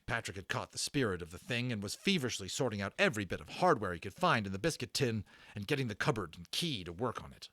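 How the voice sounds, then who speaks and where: A man narrating a storybook as a dramatic, expressive read-aloud. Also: lowish voice, fast talker, dry recording.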